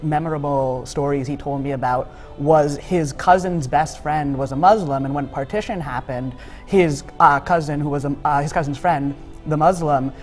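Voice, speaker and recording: A young man from the United States, a guest in an interview, talking in a relaxed, conversational way with filler words. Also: on the high side. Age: young adult. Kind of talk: casual interview talk.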